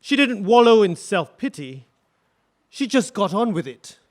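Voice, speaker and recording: A man acting a 1940s husband testifying, gentle and reflective about his wife. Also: high voice, very animated, a little slow. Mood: wistful. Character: wistful grieving husband, 1940s. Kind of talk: radio drama acting.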